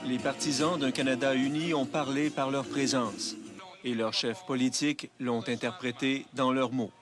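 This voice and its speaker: A man narrating a television news report in a measured broadcast style. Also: mid-range voice, fairly even intonation, over music.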